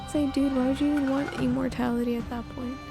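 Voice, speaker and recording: A woman's voice: sits high, little pitch movement, quick pace. A woman streaming a game, giving casual, slangy, laid-back commentary with some swearing, close on the mic. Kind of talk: casual live gameplay commentary. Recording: game music behind the voice.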